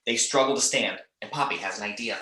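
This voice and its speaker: A man's voice: lowish voice, fast talker, sharp tone. A man reading action descriptions as narrator in an amateur screenplay table read.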